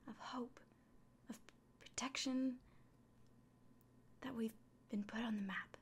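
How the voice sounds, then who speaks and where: A woman playing a kindly village innkeeper in a fantasy ASMR roleplay, speaking in a soft, breathy whisper with gentle, hesitant pauses. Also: a little high, steady tone, exaggerated voice. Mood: hopeful, earnest. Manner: gentle, unhurried, hesitant pauses, fussing over the listener. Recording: close soft recording with clear background noise.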